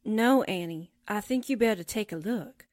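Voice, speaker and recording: A woman's voice: mid-range voice, normal speed, a little mellow, no echo, studio quality, lightly acted. A woman playing a folksy, anxious newspaper sidekick in a 1940s-style radio drama. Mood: worried.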